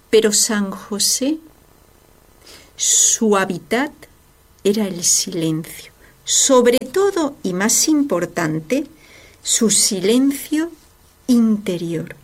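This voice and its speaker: A woman delivers a devotional reflection for the radio. She takes her time, earnest and emphatic, and raises rhetorical questions as she goes. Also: mid-range voice. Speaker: religious radio speaker.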